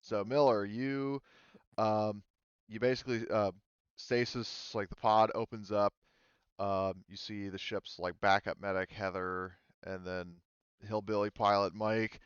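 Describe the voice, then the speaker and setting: A man working as game master, casually narrating a tabletop game in halting, improvised phrases. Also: on the low side, steady tone, clear, resonant tone, no echo, dull audio.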